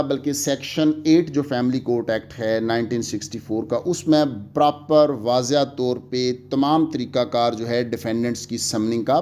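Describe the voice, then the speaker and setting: Measured man lecturing on law. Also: mid-range voice, a little mellow. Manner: measured, explanatory, mixes English legal terms into speech. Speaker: legal lecturer.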